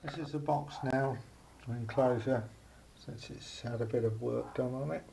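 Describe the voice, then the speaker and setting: A man with a gravelly, creaky edge to a mid-pitched voice talks through a homemade project in an unscripted way. He is halting, often pausing partway through a phrase. It is an amateur camera recording with faint noise behind him. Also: fairly even intonation. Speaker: hobbyist showing a homemade radio aerial project. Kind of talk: casual unscripted hands-on demonstration.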